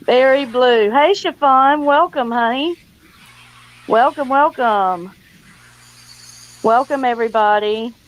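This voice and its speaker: A woman hosts a casual livestream. She talks slowly and chattily, with a folksy, welcoming way of addressing people. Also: high voice, poor audio. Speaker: livestream host.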